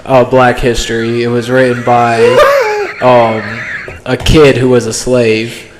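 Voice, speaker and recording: A man's voice: medium pitch, completely flat delivery, slow, very noisy. A man chatting casually as a podcast co-host, blunt and questioning. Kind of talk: casual chat.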